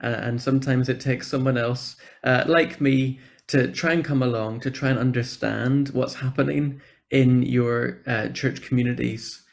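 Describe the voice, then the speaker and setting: A Northern Irish man speaks at mid pitch with a noticeable creak in his voice. He presents informally to an online meeting, in an encouraging and appreciative manner. It sounds like a guest speaker talking over a video call. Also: fairly even intonation, moderate pace. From Northern Ireland. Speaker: church programme officer, guest speaker.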